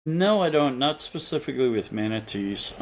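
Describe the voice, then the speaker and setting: A man's voice: medium pitch, lively intonation, normal speed, a little hiss or hum. Middle-aged man with a Philadelphia accent. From Pennsylvania. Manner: rambling, with frequent restarts and fillers. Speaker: podcast guest. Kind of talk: casual interview conversation.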